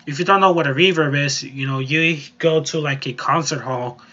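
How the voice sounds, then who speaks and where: A man with a fairly high, forceful and bright voice talks through a casual software tutorial. He explains in a rambling way, circling back over his points. The recording is a little dull and lo-fi. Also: moderate pace. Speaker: tutorial host.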